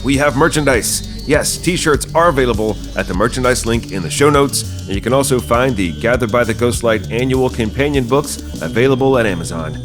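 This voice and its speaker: A man hosting a podcast, chatting casually with a guest and addressing listeners as a playwright and host. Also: mid-range voice. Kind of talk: casual interview chat and show outro.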